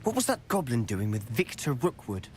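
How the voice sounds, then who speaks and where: A man's voice: medium pitch, sing-song, normal speed, very noisy, lightly acted. A man playing a curious, eager teenage student in a video game. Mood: urgent.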